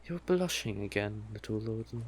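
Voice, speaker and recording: A man's voice: lowish voice, animated, a little hiss or hum, close to an everyday voice. A man voicing a doting, possessive, manipulative male sea witch in an intimate audio roleplay, coaxing the listener tenderly. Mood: doting, teasing. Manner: coaxing, unhurried, tender with pet names.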